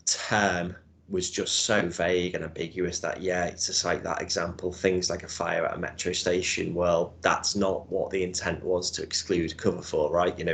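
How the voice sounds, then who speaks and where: Scouse bloke with a low voice running a webinar, chatty and explaining, lots of "you know" and "right?". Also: male voice, a bit bright. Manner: explanatory, conversational, with hedges and tag questions. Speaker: insurance broker presenting a webinar. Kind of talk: webinar presentation.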